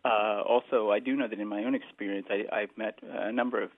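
Halting low-voiced male caller. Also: fairly even intonation. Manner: halting, hesitant, polite. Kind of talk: radio call-in question. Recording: tinny phone line.

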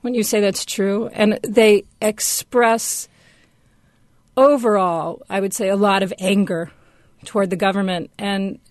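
A woman's voice: medium pitch, steady tone, measured pace, no room sound. Woman guest in a dry, close studio. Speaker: radio guest. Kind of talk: conversational interview answers.

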